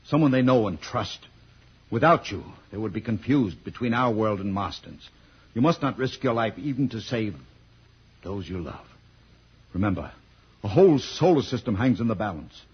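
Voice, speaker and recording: Casting: stern, grave elder statesman for old radio drama, heavily husky voice, muffled recording. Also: on the low side. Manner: grave, oratorical. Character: stern, solemn male elder statesman urging duty. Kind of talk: 1950s radio serial acting.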